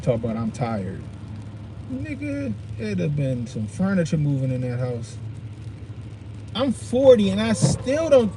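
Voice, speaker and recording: A man in his forties talks in a casual, blunt and profane storytelling monologue, full of slang. His voice sits a little high for a man, and loud steady noise runs under it the whole time. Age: 40s. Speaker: podcast host.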